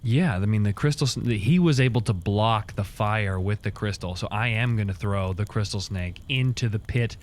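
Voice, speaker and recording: A man's voice: on the low side, moderate pace, few pauses. A man talking casually as a player in a tabletop roleplaying game, stating what his character does. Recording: faint background noise.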